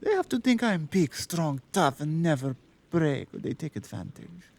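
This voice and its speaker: Woman acting a tender, creaky-voiced Slavic mafiosa. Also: deep voice, sing-song, a little mellow, heavily acted. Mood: confiding. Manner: halting foreign phrasing, murmuring, earnest. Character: tender Slavic mafia boss woman, devoted, quietly dangerous. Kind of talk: intimate ASMR roleplay.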